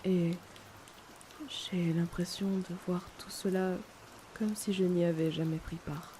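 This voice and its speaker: A woman playing a melancholic young noblewoman haunted by her past in an audio drama, speaking in a hesitant, hushed, wistful way. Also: lowish voice, takes it slowly, slight background noise. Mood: pensive, melancholic. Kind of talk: slow introspective audio drama monologue. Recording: steady loud rainfall behind the voice. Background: rain.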